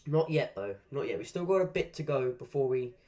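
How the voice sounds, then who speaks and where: A man's voice: mid-range voice, lively intonation, brisk, mellow. A man chatting casually while live-streaming a game, rambling through asides and swearing at the game now and then. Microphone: desk microphone.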